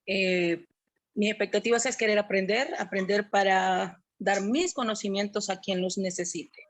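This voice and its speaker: A woman introduces herself over a video call. She speaks earnestly and thoughtfully, at a middle pitch. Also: steady tone, normal speed. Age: 40s. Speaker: workshop participant, psychologist.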